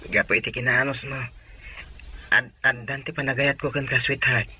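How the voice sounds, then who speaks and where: A sweet-talking young boyfriend, acted by a man. His voice is extremely bright and forward, delivering tender audio drama dialogue. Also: medium pitch, fairly even intonation, normal speed, a little hiss or hum.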